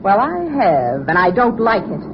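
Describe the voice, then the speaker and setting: An actress playing an anxious, sharp-tongued circus performer on the run in a radio drama, slangy and dramatic. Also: female voice, mid-range voice, sing-song, moderate pace, lightly acted. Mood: sardonic, fretful. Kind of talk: old-time radio drama acting. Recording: noisy, muffled old radio recording.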